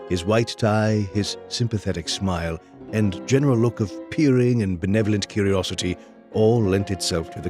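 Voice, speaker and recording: An earnest gentleman companion, acted by a man, telling a detective story in an audio drama. The voice is on the low side and measured, loyal in tone, with soft music underneath. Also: lightly acted. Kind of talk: audio drama narration and dialogue.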